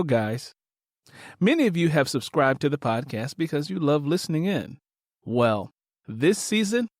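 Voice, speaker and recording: A man's voice: a little high, no echo, clean studio sound. A man hosting a podcast, addressing listeners in a scripted announcement. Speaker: podcast host, creator and narrator.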